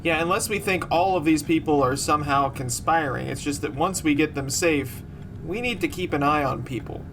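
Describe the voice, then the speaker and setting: A man plays a tabletop role-playing game and talks through it in a casual, conversational way. The recording is clearly noisy, with a low rumble under the voice. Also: normal speed.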